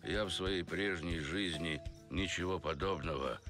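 A man voicing a gruff talking bear, a stern boss with a terse, commanding, dry way of speaking in an animated cartoon. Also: sits low, unhurried, music in the background. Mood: dry, instructing. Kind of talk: animated noir film acting.

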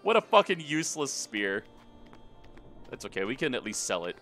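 Guy doing a casual lets play, lively and bright, crude jokes, game music under him. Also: medium pitch, normal speed. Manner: crude, irreverent joking. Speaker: let's play commentator. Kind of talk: casual joking gameplay commentary. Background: video game music and dialogue.

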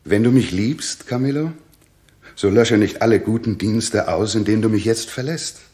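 A middle-aged king in a sixties radio play. His lowish voice moves with lively intonation. He is stately and commanding, yet troubled. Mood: pleading, firm. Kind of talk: 1960s German radio drama acting.

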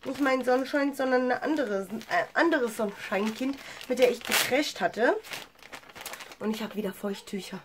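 A woman's voice: on the high side, moderate pace, a little hiss or hum. A young woman with a cold, chatting enthusiastically about her makeup with lots of fillers, recorded by a camera in a small room at home. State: has a cold. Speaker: beauty vlogger. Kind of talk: casual makeup review.

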